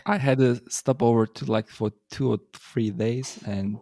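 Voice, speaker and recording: A man's voice: medium pitch, fairly even intonation, a little slow, creaky, mellow, no echo. Man, from Germany, podcast host, mid voice, enthusiastic, says yeah a lot. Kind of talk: casual interview.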